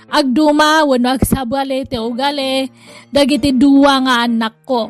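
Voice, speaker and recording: Ilocano Filipino woman drama presenter. High voice, scripted introduction, faint music underneath.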